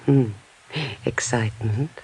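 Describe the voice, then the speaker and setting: A woman's voice: rumbling low, fairly even intonation, slow pace, darkish tone, breathy edge, faint music behind, heavily acted, rough recording. An actress playing a bold, flirtatious married woman in a 1950s radio drama, with an American accent and a coy, teasing delivery. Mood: seductive.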